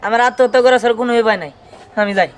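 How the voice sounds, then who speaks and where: A man's voice: extremely high pitch, rapid-fire. A man playing a petulant, lovesick village son who melodramatically threatens suicide in a comedy drama. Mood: petulant, sulking. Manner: petulant, complaining.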